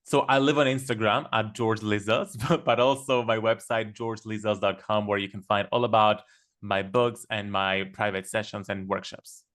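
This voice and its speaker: A man's voice: fairly low pitch, steady tone, a bit bright. Enthusiastic man. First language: Greek. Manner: enthusiastic, storytelling, quick with fillers. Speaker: guest. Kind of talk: casual podcast conversation.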